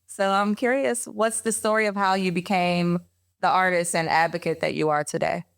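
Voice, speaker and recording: A woman hosting a podcast, reading a scripted introduction and asking interview questions in a measured, even way. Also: fairly low pitch, gravelly, no echo, studio quality. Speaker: podcast host. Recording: music bed behind the voice. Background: music.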